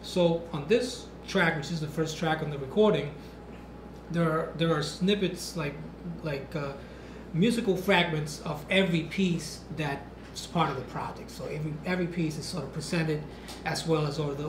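A man presenting his music project to a seminar, explaining in a relaxed, halting way with restarts. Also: fairly high pitch. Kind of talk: casual talk to a seminar audience. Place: classroom with projector screen. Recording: echoey classroom from far off, room noise.

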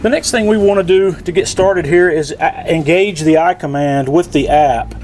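A middle-aged man from the sound of a casual product tutorial, speaking. His voice sits a little high for a man. He explains each step in a folksy way, with some outdoor ambient noise behind him. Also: moderate pace, darkish tone, rough recording. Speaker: product demonstrator. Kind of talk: casual instructional how-to narration.

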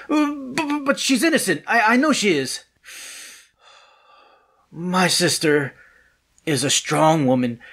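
An actor playing an anxious, polite man desperate for help in an audio drama, with a husky voice and a hesitant, earnest manner. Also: fairly high pitch, fairly bright tone.

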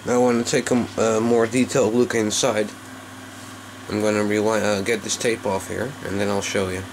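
A man giving a casual, unscripted hands-on demonstration in a low, creaky voice, recorded on a handheld camera microphone. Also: flat delivery, moderate pace, background noise. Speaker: hobbyist video maker. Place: small indoor workroom.

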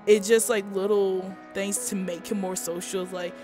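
A woman's voice: mid-range voice, level tone, moderate pace, darkish tone, soft background music. A woman from Kentucky hosting a podcast, chatty and bubbly, often tripping over her words. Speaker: podcast host. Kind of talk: casual podcast chat.

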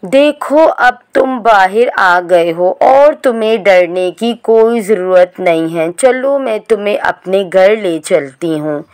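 A woman voicing a kind, motherly female sparrow in a cartoon story, speaking softly and coaxingly. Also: medium pitch, expressive, slow pace, hardly any pauses, clean tone. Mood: soothing.

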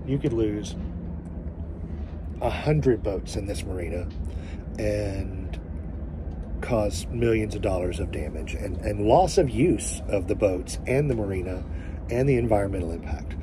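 A man in his forties telling a story straight to a phone camera outdoors, speaking earnestly and emphatically, pausing to find his words. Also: lowish voice, lively intonation. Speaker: family vlogger. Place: outdoors by a boat in sunlight. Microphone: phone held at arm's length. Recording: outdoor phone audio with background noise.

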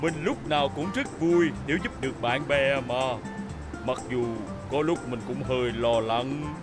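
A shy, kind truck in a cartoon; deep, slow and hesitant. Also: female voice, over music. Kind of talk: Vietnamese cartoon dub.

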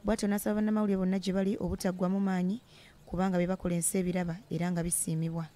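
A woman reading a scripted television news voice-over in a brisk, steady newsreader manner. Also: fairly low pitch. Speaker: news reporter.

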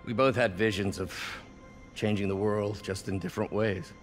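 An actor playing a proud, embittered older scientist, articulate and intense, in a dramatic video game scene. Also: male voice, fairly low pitch, fairly even intonation, moderate pace, faint music behind, lightly acted. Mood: rueful, reflective.

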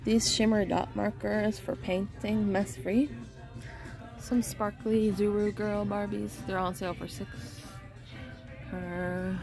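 A woman's voice: medium pitch, little pitch movement, slow pace, gravelly, over music. A woman vlogging a casual shopping trip through a home goods store, pointing out items and reading prices aloud. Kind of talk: casual shop-with-me narration.

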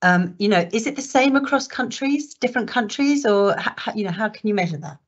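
A woman's voice: medium pitch, animated, brisk. A woman in her forties hosting a Q&A, enthusiastically putting audience questions to the speaker over a video call. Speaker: event host, moderator. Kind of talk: moderating Q&A. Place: home room with patterned wallpaper. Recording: slightly dull video call audio.

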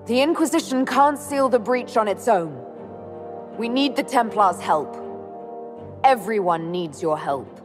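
A woman's voice: on the high side, expressive, unhurried, music in the background. A woman playing a firm, resolute young elf leader in a video game. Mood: firm, urgent. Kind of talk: video game dramatic acting.

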